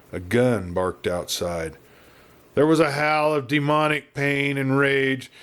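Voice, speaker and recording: Man narrating a dramatic western tale. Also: mid-range voice. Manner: measured, dramatic storytelling delivery. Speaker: audiobook reader. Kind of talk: scripted western novel narration.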